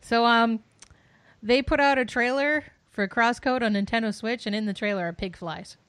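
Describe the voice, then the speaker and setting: A woman's voice: a little high, moderate pace, fairly bright tone, no room sound. A woman co-hosting a podcast and presenting game news in casual chat.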